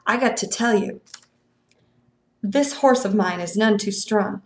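A woman's voice: mid-range voice, unhurried, roomy sound, lightly acted. A woman voicing a fat, sleepy male constable who wheezes and grumbles in a folksy rural drawl for a storybook reading. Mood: sour. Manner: grumbling, long-winded, suspicious.

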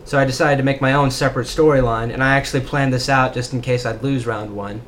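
A man's voice: mid-range voice, fairly even intonation, quick pace, a bit bright. Young male co-host, rambly and self-mocking, faint noise behind. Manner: rambling, self-deprecating, jokey. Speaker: podcast co-host. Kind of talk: casual chat.

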